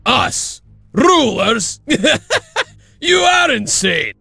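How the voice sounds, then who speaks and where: An actor playing a wild maniac wolf clan warrior with a raspy, croaking voice in a video game cutscene. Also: male voice, very high-pitched, big pitch swings, very slow, sharp tone, no echo, shouting, faint music behind, full character voice. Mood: manic, incredulous.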